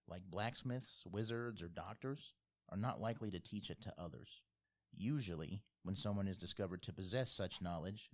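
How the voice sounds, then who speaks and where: A man narrating and hosting a tabletop fantasy game as game master. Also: lowish voice. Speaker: game master and podcast host.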